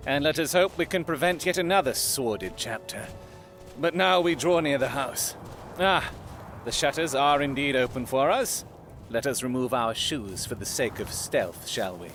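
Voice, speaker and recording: An actor playing a keen, commanding gentleman detective in an audio drama, speaking in deliberate, formal, dramatic phrases. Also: mid-range voice, animated, soft background music. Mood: wry, hushed urgency.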